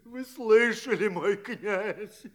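Anxious high-voiced devoted servant. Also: male voice, very slow, fairly bright tone, faint music behind, exaggerated voice. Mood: concerned. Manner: agitated, pleading, emphatic. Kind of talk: theatrical radio play dialogue.

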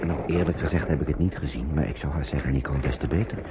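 Gentle older father, radio play. Creaky, soothing, repeats himself; dull, vintage recording. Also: extremely low pitch. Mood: thoughtful. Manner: halting, repeats words, soothing. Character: gentle older father shepherding children through wartime France. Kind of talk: old Dutch radio drama acting. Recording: muffled, noisy old radio drama recording.

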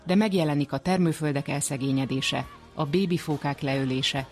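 A man presenting a scripted radio documentary as narrator and interviewer. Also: on the high side. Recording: music behind the voice. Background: music.

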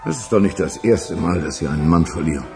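A man in a German radio crime drama playing a sceptical, gruff police official in a crime boss's service, with a gravelly voice. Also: deep voice, fast, music in the background. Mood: sceptical, soothing.

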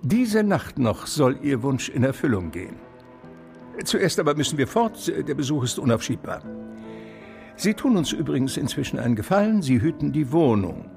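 A cool, imperious doctor in a radio play, formal and condescending. His delivery is brisk and very animated in pitch, with a gravelly edge. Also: faint music behind. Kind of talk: German radio drama acting.

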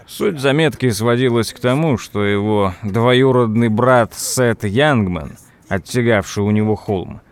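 An actor playing a thoughtful nephew who narrates an audio drama in an expressive, measured way. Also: male voice, mid-range voice, smooth, close to an everyday voice. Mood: intrigued narration. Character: thoughtful nephew recounting his uncle's strange tale. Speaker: narrator and character. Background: music.

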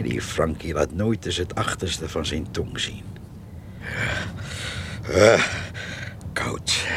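A man's voice: very deep voice, gravelly, noisy background, exaggerated voice. An actor playing a chatty, nosy old village man who rambles and grumbles in a folksy way in a radio drama. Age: older. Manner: rambling, muttering, folksy talk.

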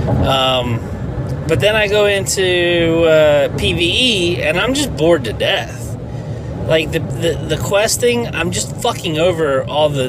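A man's voice: fairly high pitch, background noise. A man hosting a casual solo podcast, rambling and swearing, with a nasal, stuffy voice. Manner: profane and rambling. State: stuffy from allergies. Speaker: podcast host.

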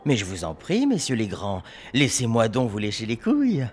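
A man's voice: mid-range voice, huge pitch swings, normal speed, a little mellow, a little hiss or hum. A man playing a weary, sardonic young factory worker in an audio drama, with a mocking, crude way of talking. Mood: contemptuous, mocking.

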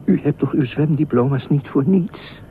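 A man's voice: a little high, normal speed, lightly acted. A man in a radio play acting an eccentric, talkative lifeguard, anxious and pleading, rambling and repeating himself. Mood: pressing, pleading. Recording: tinny band-limited radio play, faint noise.

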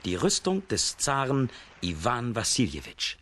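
An actor playing a fearless, strong young folk-tale hero in a children's radio play, hearty and resolute. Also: male voice, medium pitch, wildly swooping pitch, a little slow, fairly bright tone, slight background noise. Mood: resolute, friendly.

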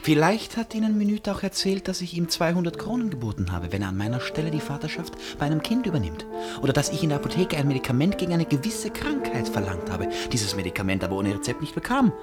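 An actor playing an intense, restless stranger in a radio play, speaking in an urgent, pleading way and often repeating himself. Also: male voice, a little high, very animated, quick pace, over loud music. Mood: agitated, sarcastic. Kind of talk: German radio play acting.

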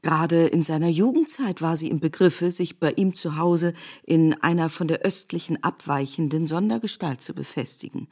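A woman giving a measured, scripted literary narration in an essayistic manner for a radio production. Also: sits low, darkish tone, husky.

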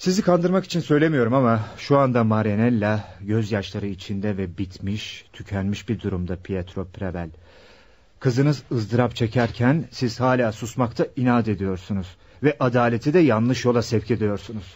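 Man acting husky, reproachful pleader, dull recording. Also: on the low side, normal speed, darkish tone, natural voice. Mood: pleading, reproachful. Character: earnest, reproachful man pleading with a jailed father. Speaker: radio drama actor. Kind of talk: radio theatre acting.

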